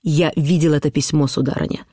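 A stern, cold middle-aged husband, as an audiobook reader puts him on, severe and lecturing in a measured way. The voice sits at an ordinary middle pitch and is recorded dry and close, with no room around it. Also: fairly even intonation, warm tone, slightly rough, no echo, natural voice. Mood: accusing. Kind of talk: audiobook reading of a classic novel.

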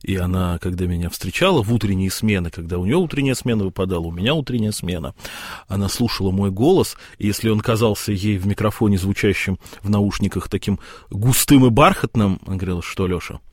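A man co-hosting a radio show in casual chat, halting now and then as he searches for words. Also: fairly low pitch, darkish tone, no echo, clean studio sound. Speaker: radio co-host. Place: radio studio. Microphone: studio microphone.

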